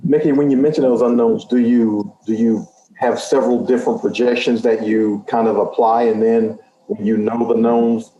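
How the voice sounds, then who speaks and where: A man's voice: medium pitch, fairly even intonation, normal speed, dark tone, a little hiss or hum. A man working as a sports reporter, asking a question on a press conference call with dull, echoey audio.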